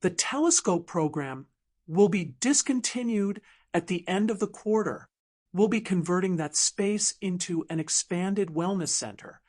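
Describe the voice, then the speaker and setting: A woman's voice: lowish voice, expressive, a little slow, darkish tone. A woman voicing a cold, controlling male resort CEO with an unyielding corporate manner, in an audiobook drama. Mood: coolly dismissive.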